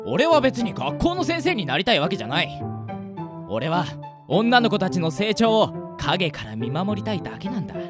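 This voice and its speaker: An actor voicing an excitable teenage schoolboy with shameless creepy tastes, over-reacting dramatically in a comedy radio drama. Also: mid-range voice, moderate pace. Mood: earnest, deadpan. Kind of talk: anime-style comedy radio drama acting. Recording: loud music bed under the voice. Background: music.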